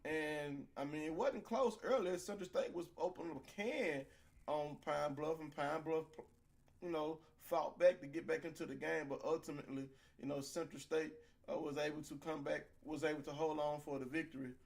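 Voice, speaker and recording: A man co-hosting a casual college sports chat, his voice scratchy as it recovers. He sits a bit high for a man with a gravelly edge. He is animated and opinionated, leaning on fillers as he goes. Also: normal speed. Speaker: podcast co-host.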